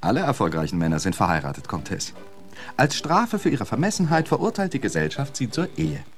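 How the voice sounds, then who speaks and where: An actor playing an urbane, witty poet in a radio play, suave and flowery with a dry humour. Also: male voice, mid-range voice, sing-song, brisk. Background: music.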